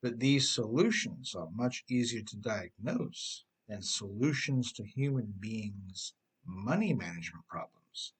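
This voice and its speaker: Husky-voiced man reading his self-help book. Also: on the low side, lively intonation, measured pace. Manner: wry, sarcastic, rhetorical questions to the listener. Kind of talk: audiobook narration with conversational asides.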